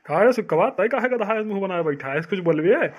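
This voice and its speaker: A man plays a bossy cartoon villager with a notably high voice and an Awadhi accent. He scolds and threatens in blunt rustic speech, thoroughly exasperated with his companions. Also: expressive, brisk, slightly rough, muffled recording. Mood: needling. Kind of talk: comic cartoon dialogue.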